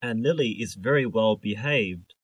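A man reading scripted English lesson sentences for a listening test, in a measured, carefully enunciated way. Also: lowish voice, slightly rough, dry recording. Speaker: exam listening test reader.

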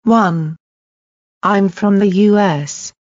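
A woman reading short scripted lines for a language listening test, deliberate and clearly enunciated. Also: medium pitch, a little mellow, no echo, muffled recording.

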